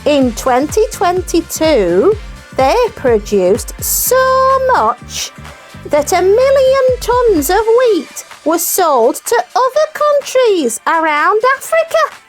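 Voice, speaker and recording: High-pitched, slow-talking puppet kid with foreign accent. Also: big pitch swings, soft background music, full character voice. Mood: bright, informative. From Caracas, Venezuela. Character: cheerful young puppet news co-host, literal-minded and playful. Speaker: puppet news co-host. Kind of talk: scripted children's comedy news presenting.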